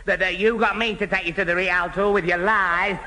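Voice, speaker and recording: A man's voice: high-pitched, steady tone, brisk, sharp tone. A man playing an indignant, gullible young man in a 1970 British radio sitcom, blustering threats and tripping over his words. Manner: blustering, stammering when worked up. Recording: old radio recording, echoey hall.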